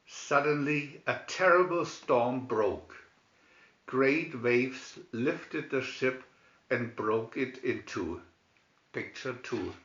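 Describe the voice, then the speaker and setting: A man reading a scripted fairy tale as a storybook narrator, in a deliberate, measured way. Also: mid-range voice, a bit bright, reverberant, dull audio. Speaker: storybook reader.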